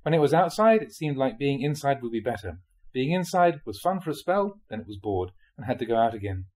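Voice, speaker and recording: A male reader delivers a spiritual teaching in an explanatory, measured manner. His mid-pitched voice has a husky grain and moves expressively up and down as he sets out questions and explanations. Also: very animated, darkish tone. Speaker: monk reading dharma talks aloud. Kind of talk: reading a spiritual talk aloud.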